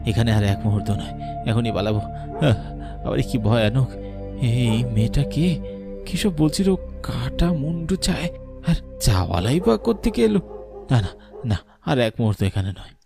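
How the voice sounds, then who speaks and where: A man voicing a condescending, easily spooked investigating officer in a horror cartoon, with an expressive, dramatic delivery. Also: mid-range voice, normal speed, creaky, long pauses, music in the background. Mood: shaken.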